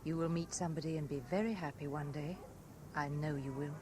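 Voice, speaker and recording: An actress with a British accent playing a wistful, enigmatic married woman in a 1970s radio drama, musing with soft intensity. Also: low voice, fairly even intonation, a little fast, close to an everyday voice. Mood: gentle, curious. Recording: noisy 1970s radio broadcast recording.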